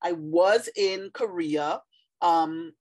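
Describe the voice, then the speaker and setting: A woman's voice: on the low side, very slow, darkish tone. A woman in her thirties from Trinidad and Tobago with a Trinidadian accent, talking casually and reflectively about teaching English, with frequent fillers. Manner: reflective, conversational with many fillers. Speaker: vlogger, former ESL teacher. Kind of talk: casual monologue to camera.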